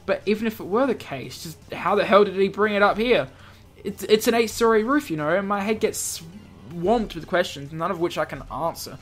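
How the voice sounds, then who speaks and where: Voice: medium pitch, normal speed, faint music behind. A video game host reading a visual novel aloud, stumbling over words and dropping in jokey offhand comments. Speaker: let's play video host.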